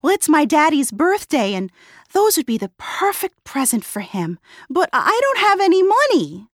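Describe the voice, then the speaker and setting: A man reading a storybook aloud and voicing a sad, wistful little girl who speaks slowly and wonders aloud. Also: very high-pitched, huge pitch swings, fairly bright tone, dry recording, clean studio sound, pitched above the performer's own voice, full character voice.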